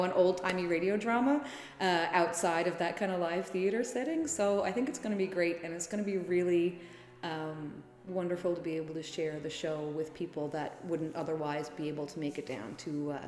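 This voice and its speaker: A woman radio drama performer speaking casually and excitedly in a documentary interview. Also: fairly low pitch. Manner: excited, gushing. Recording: echoey room with faint music behind.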